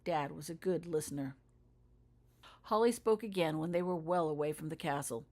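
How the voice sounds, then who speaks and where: A woman's voice: low-pitched, normal speed, a little mellow. A woman reading her own fiction aloud as a scripted audiobook narration for younger listeners. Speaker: author reading own story.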